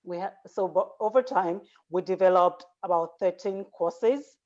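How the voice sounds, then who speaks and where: A woman founder tells her story earnestly in a lowish voice, pausing often on filler words. The audio is dull and lo-fi, as over a video call. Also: a little mellow. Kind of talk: personal story in an interview.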